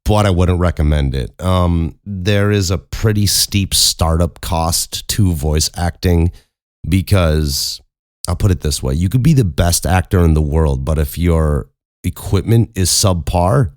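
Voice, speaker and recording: A young man hosting a casual Q and A, with a very low speaking voice, rambling and self-mocking and swearing casually. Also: measured pace, a little mellow, dry recording, studio quality. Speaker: podcast host and voice actor. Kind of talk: casual Q and A chat.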